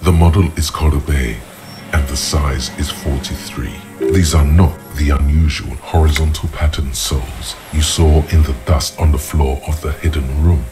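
Very deep, grave game narrator. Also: male voice, fairly even intonation. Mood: measured, observant. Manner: measured, deliberate, literary. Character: grave, observant inner voice narrating a detective's thoughts. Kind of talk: dramatic second-person game narration. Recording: music under the voice. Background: background music.

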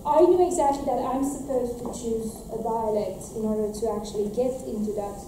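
A woman's voice: a little high, moderate pace, warm tone, slight background noise. Young woman, from Romania, speaker at a lecture, earnest, restarts often, far from the mic, very echoey room. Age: young adult. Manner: earnest, with frequent restarts and fillers, gesturing. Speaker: graduate student presenter. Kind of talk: answering audience questions at a talk. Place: lecture room at a podium, indoors. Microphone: room mic, heard from a distance.

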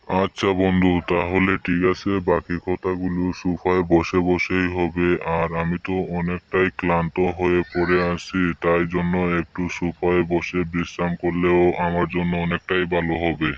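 A deep-voiced, hospitable husband from a cartoon, acted by a man. He speaks politely and deliberately, with very little melody. The sound is dry and somewhat dull. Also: dry recording, natural voice. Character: hospitable husband and devout, friendly male friend. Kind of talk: scripted cartoon dialogue.

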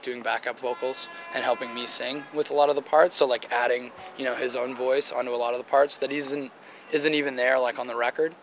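A man in his late twenties, a band singer, answering interview questions in a relaxed, chatty way, his voice a little rough and strained. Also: mid-range voice, a little hiss or hum. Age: 20s. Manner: relaxed, chatty, many "like" fillers. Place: outdoor table by a river. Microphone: handheld interviewer mic near the table.

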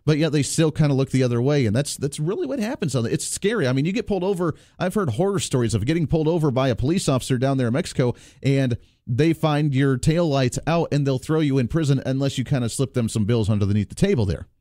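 A man's voice: medium pitch, expressive, fast talker, dark tone, dry recording, studio quality. A man hosting a political call-in radio show, talking in an emphatic, persuasive way that rallies his listeners. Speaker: radio talk show host. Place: radio studio. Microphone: close studio microphone.

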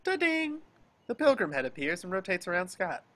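A man's voice: high-pitched, big pitch swings, normal speed, bright, forceful tone. A man reading scripted action descriptions as narrator of a table read over a video call.

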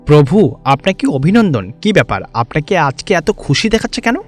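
A man voicing a timid, flattering palace guard with a deferential, fawning manner. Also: a little high, moderate pace, soft background music. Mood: servile.

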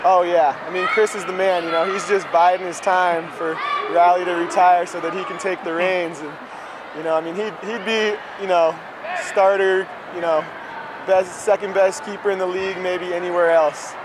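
Thirtyish male athlete, easygoing post-match talk, higher voice, arena noise. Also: moderate pace, crowd noise. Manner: relaxed, loose answers with fillers. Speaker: soccer player. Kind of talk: postgame interview. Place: indoor arena floor. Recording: noisy arena.

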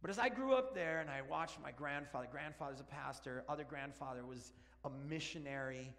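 A man in his forties from Argentina, a native Spanish speaker, preaching an animated, joking sermon while walking around the stage. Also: a little high, a little fast, creaky. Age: 40s. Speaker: pastor. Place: large church sanctuary. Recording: long church hall reverb.

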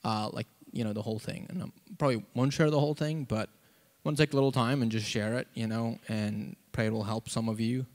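A man gives an informal sermon and testimony into a handheld mic, halting and conversational, with lots of "like" and "you know.". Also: medium pitch, steady tone, a little mellow. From South Africa. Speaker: preacher. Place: church auditorium, on stage before seated congregation. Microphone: handheld microphone.

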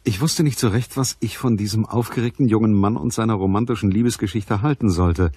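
An actor playing a sceptical private detective in a radio play, speaking in a dry, measured, matter-of-fact way. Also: male voice, on the low side, warm tone, breathy edge, dry recording. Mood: dry, narrating. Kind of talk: radio play narration and dialogue.

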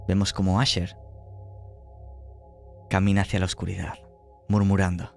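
A man from Spain narrates a horror tabletop game as its game master. His voice sits fairly low and he builds suspense in short, measured phrases. Soft music plays faintly behind him. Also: flat delivery, gravelly.

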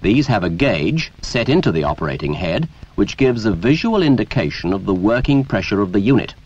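A British man reading a scripted 1970s training film in a formal, measured, emphatic way, as a narrator. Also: fairly low pitch, sing-song, a little mellow. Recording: dull, lo-fi 1970s 16mm film soundtrack.

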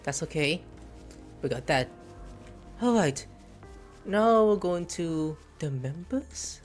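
A woman's voice: low voice, animated, takes it slowly, faint music behind. A woman casually commenting while playing a video game, playful and jokey, talking to herself. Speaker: streamer.

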